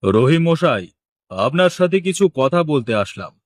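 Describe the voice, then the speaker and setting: A man playing a smooth, evasive fish trader from the city in a cartoon, politely businesslike and reassuring. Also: fairly high pitch, big pitch swings, a little slow, no echo. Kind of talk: cartoon dialogue acting.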